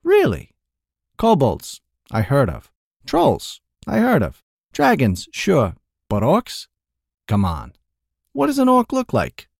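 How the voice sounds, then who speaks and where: A man's voice: medium pitch, wildly swooping pitch, slow pace, creaky, pauses often, no echo, studio quality, voice pushed up, heavily acted. A man voicing a blunt, crude, skeptical middle-aged male shopkeeper who talks with incredulity in a storybook reading. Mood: gruff, incredulous.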